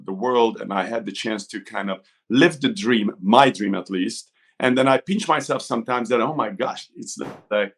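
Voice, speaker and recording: Middle aged hungarian guy, coach in an interview, chatty and rambling, normal pitched voice. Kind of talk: conversational interview talk.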